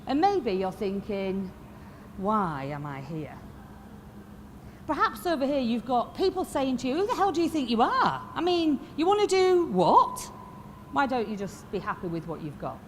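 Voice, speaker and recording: Lively Yorkshire woman in her fifties on stage. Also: on the high side, moderate pace, a little hiss or hum. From Lancashire, England. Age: 50s. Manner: lively, blunt and swearing, asks the crowd questions. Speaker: motivational speaker. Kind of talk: live motivational talk to an audience. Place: expo stage before a live audience.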